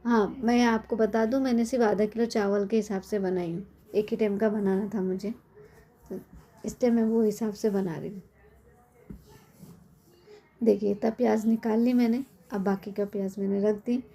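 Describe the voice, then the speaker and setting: A middle-aged woman with a hoarse, cold-worn voice, chatting casually as she explains a home recipe step by step in her kitchen. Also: mid-range voice, fairly even intonation, normal speed, long pauses, mellow. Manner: chatty, explains step by step with frequent tag questions. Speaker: cooking channel host. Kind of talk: casual cooking tutorial.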